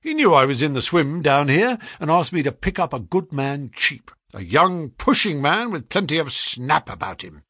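A man voicing a brisk, sharp-talking middle-aged male financier in a read-aloud story, speaking eagerly and quickly. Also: a little high, very animated, husky, no room sound, clean studio sound, full character voice.

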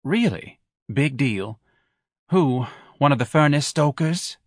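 A man voicing a wealthy middle-aged wife who needles her husband with sarcastic, drawn-out nagging. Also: medium pitch, animated, no room sound, studio quality, pitched above the performer's own voice. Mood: needling.

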